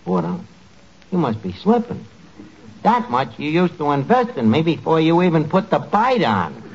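A man's voice: on the high side, huge pitch swings, normal speed. An actor playing a sour, streetwise, wisecracking male tavern manager with slangy speech in a 1940s radio comedy. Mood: suspicious. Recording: muffled, noisy 1940s radio recording.

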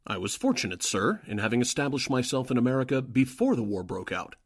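An earnest, obedient underling acted by a man in a wartime radio play, hesitant and uneasy before a superior. The voice is a little husky and jumps around in pitch. It is recorded dry, with little room sound. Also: big pitch swings, fast talker, no room sound, full character voice. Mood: deferential. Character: deferential newspaper editor, secretly a Nazi agent, uneasy underling. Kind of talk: 1940s radio drama acting.

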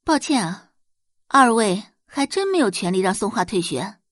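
Unyielding lady teacher played by a woman, formal and composed, lively intonation, slightly husky, audio drama. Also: mid-range voice, moderate pace, dry recording, clean studio sound. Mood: firm. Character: firm, polite, unyielding female high school teacher.

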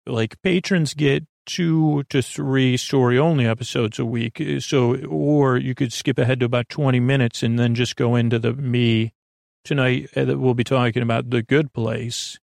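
A man with a creaky voice hosting a bedtime podcast in a gentle, meandering conversational way, reassuring and often interrupting himself with tangents. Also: mid-range voice, steady tone, moderate pace, no room sound, clean studio sound. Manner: meandering, hesitant, full of tangents and self-interruptions. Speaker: podcast host.

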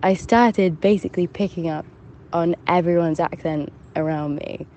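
A woman's voice: on the low side, moderate pace, dark tone. Giggly young woman leaving a voicemail. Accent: mixed English, shifting between southern and northern. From Hampshire, England. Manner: chatty, giggly, self-deprecating. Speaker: caller. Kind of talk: voicemail to a podcast.

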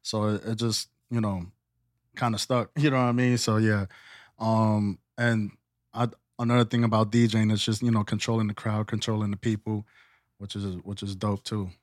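Low, level-voiced easygoing man. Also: level tone, moderate pace, dry recording, studio quality. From the Bronx, New York. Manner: easygoing, with frequent ums and laughs. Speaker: DJ guest. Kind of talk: casual podcast interview.

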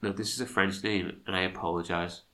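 A man with a fairly low, bright and forward voice, stuffed up with a cold. He co-hosts a podcast, reading history from a script and dropping into casual asides. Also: fairly even intonation, brisk. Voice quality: congested, nasal from a cold. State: has a cold. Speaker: podcast co-host.

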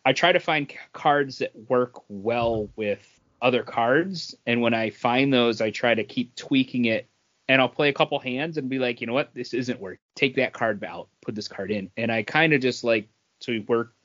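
A man's voice: medium pitch, a bit bright. Man with mid-range voice, dull audio. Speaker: podcast panelist. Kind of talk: casual hobby chat.

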